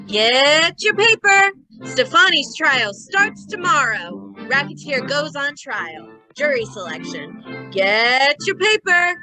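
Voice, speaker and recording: Woman as newspaper seller, loud, high, slow calling, radio drama. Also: very animated, over music, exaggerated voice, poor audio. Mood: hawking. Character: street newspaper seller crying headlines. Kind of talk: old-time radio drama acting.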